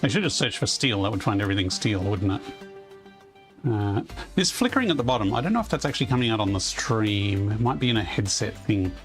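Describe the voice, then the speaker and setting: A man with a loud voice chatting casually on a live game stream, rambling as he plays and reading chat aloud. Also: fairly low pitch, expressive, fast, faint music behind.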